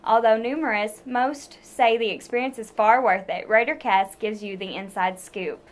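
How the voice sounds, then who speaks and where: A young woman reads campus news from a script with a fairly high, clear voice. Her delivery is even and polished, like a student news anchor. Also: moderate pace.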